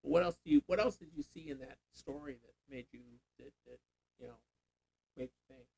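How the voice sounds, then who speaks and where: A man's voice: mid-range voice, fairly quick, vocal fry, mellow, slightly rough, poor audio. An older man, a grandfather, leading a casual Bible reading, thinking aloud and prompting with questions. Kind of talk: casual teaching chat.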